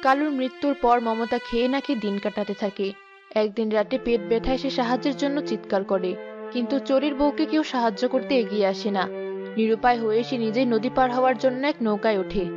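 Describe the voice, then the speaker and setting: A woman's voice: a little high, fast, lo-fi sound. A woman narrating a scripted ghost story as storyteller, with music behind her.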